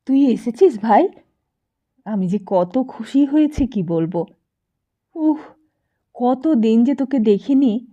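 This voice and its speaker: A woman's voice: a little high, animated, slow, long pauses, mellow, muffled recording. A woman voicing an effusive, affectionate socialite elder sister in an audiobook drama, insistent and gushing. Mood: overjoyed. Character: warm, gushing, tipsy socialite elder sister hosting guests.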